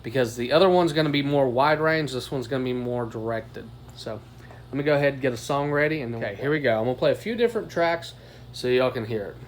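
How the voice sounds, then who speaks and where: A man's voice: mid-range voice, fast, slight background noise. An American man giving a casual product demo as a reviewer, recorded on a phone microphone. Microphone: phone above the bench.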